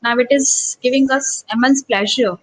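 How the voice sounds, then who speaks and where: Woman lecturing online, higher voice. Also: normal speed, low-quality recording. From Gujarat, India. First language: Gujarati. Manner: earnest teacherly tone with rhetorical questions. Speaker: associate professor leading an online workshop.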